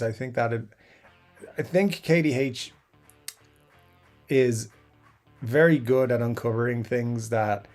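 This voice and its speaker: A middle-aged man hosts a casual live stream, reading comments aloud and musing at length. His voice sits at an ordinary pitch, and his talk wanders with fillers, restarts and asides. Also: darkish tone. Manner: rambling, chatty, frequent fillers and restarts. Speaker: YouTube guitar channel host.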